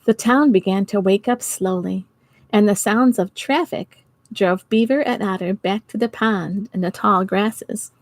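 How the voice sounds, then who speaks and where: A middle-aged or older woman reading a storybook to children, expressive and giggly, pausing to chat with her listeners. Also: medium pitch, no room sound. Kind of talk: storytime read-aloud for children. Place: small indoor home office.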